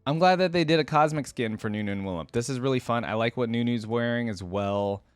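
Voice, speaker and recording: A man's voice: medium pitch, quick pace, clear, resonant tone, no echo, faint music behind. Dry-sounding man giving casual reaction commentary. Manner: chatty, thinking aloud. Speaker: reaction video host.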